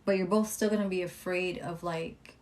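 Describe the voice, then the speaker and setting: A young adult woman giving a casual live tarot reading, with a calm, soothing voice, chatting, swearing freely and reading viewer comments aloud. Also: fairly low pitch, normal speed. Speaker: tarot reader live-streaming.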